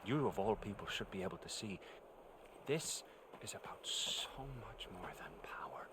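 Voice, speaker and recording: A man's voice: on the low side, lively intonation, moderate pace, in a whisper, natural voice, rough recording. A man playing a zealous, taunting villain leader in a cinematic video game, with a theatrical, smug delivery. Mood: fervent, intense.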